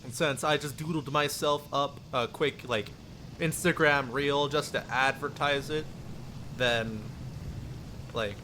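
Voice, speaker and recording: A man's voice: medium pitch, fairly even intonation, takes it slowly, bright, forceful tone, a little hiss or hum. A man live streaming with casual, rambling chat, often chuckling as he replies to viewers. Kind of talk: casual live stream chat with chat replies, some read-aloud story narration.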